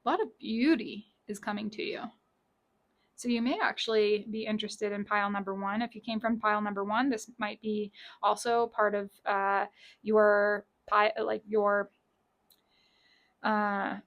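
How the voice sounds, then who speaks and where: A woman with a raspy, scratchy voice giving a casual tarot reading from her small home office, chatty and repeating key lines. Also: medium pitch, fairly even intonation, unhurried, muffled recording. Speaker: tarot reader.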